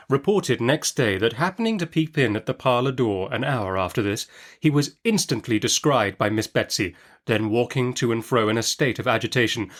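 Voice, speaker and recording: Male narrator, middle register, expressive literary storytelling for a classic novel audiobook. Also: medium pitch.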